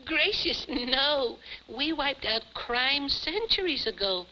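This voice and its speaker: Boyish space puppet, high-pitched, cheerful, earnest, 1950s television, hissy recording. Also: female voice, expressive, takes it slowly, pushed and bright, dull audio, exaggerated voice. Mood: encouraging. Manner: quaint exclamations, eager and earnest.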